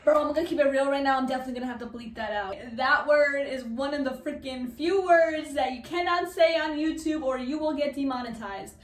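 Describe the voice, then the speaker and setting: A woman's voice: high-pitched, fairly quick, few pauses, roomy sound. A woman giving casual reaction commentary in a slangy, exclamatory way. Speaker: reaction video host.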